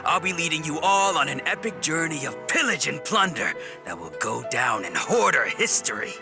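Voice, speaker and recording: An actor playing a boastful, roguish bandit gang boss in a video game, with a grandiose, swaggering delivery. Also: male voice, fairly high pitch, very animated, normal speed, vocal fry. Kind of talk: video game cutscene voice acting. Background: game music.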